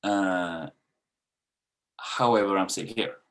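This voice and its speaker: A man's voice: deep voice, slow pace, a bit bright. A man in his thirties from Sweden with a Swedish accent, a dance coach giving a candid webinar talk with casual fillers and swearing. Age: 30s.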